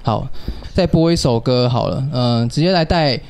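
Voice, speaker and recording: A man's voice: medium pitch, normal speed, creaky, a little mellow, a little hiss or hum. A man with a Taiwanese Mandarin accent chatting casually and playfully as a radio show host.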